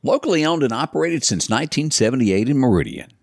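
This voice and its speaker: Folksy host with a husky voice. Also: male voice, medium pitch, fast. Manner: folksy, lively, reads ads conversationally. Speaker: morning show host. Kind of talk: casual chat and live ad reads. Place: small living-room style studio set, indoors.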